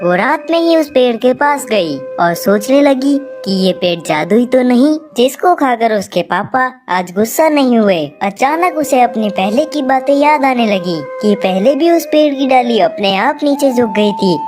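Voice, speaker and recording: A woman's voice: on the high side, expressive, unhurried, few pauses. A woman narrating a scripted moral story for children as the storyteller. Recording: clear music behind the voice.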